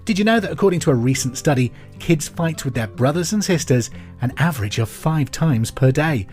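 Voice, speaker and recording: A middle-aged man hosting a pub quiz podcast, reading out trivia questions and answers in a clear, deliberate way. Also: a little high, expressive, a little mellow. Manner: clear deliberate delivery, repeats each question. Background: music bed.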